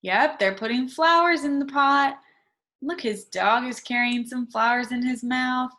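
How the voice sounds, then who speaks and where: A woman's voice: high-pitched, sharp tone. A woman teacher doing an interactive read-aloud for young children, in short simple sentences, prompting with questions and stressing key words. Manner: instructional, emphasising key words slowly for children.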